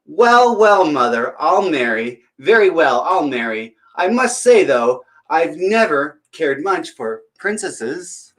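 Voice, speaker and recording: A man's voice: on the high side, big pitch swings, takes it slowly, few pauses, rough recording. Man voicing a put-upon young storybook prince. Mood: reluctant, resigned. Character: reluctant, browbeaten young crown prince in a storybook. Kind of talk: storybook character voice.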